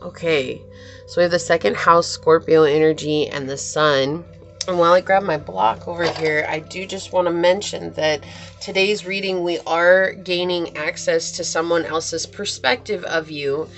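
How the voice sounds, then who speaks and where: A woman's voice: on the low side, steady tone, normal speed, a bit bright, faint music behind. A young woman with a scratchy voice, chatting casually and reassuringly as she gives a tarot reading. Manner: chatty, reassuring, laughs easily. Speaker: tarot reader.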